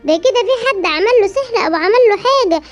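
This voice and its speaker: A woman's voice: very high-pitched, lively intonation, fast, faint music behind. An Egyptian woman voicing a worried mother hen who pleads for help in a cartoon. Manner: anxious, pleading.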